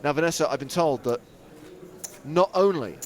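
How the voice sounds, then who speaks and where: A man's voice: a little high, expressive, moderate pace. A man with an English accent hosting a live poker webcast, introducing guests and bantering in a dry, wry way. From England. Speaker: webcast host.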